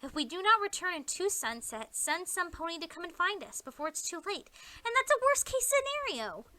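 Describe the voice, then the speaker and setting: Audio-story pony princess played by a woman: high, forceful, earnest young ruler, emphatic delivery. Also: very animated, brisk, full character voice. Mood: reassuring. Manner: emphatic, exclamatory.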